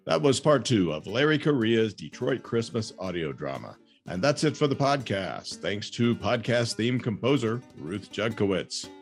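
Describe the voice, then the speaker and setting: Low-voiced man hosting a podcast, guitar behind. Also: very animated, normal speed, hardly any pauses, slightly rough. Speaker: podcast host. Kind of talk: scripted podcast sign-off. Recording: guitar music under voice.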